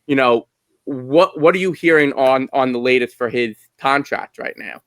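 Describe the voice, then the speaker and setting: A man with a clean, smooth voice hosts a sports podcast in a conversational interview style. His mid-range voice sounds close and dry, free of room sound. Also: medium pitch, normal speed, a bit bright, no echo. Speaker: podcast host.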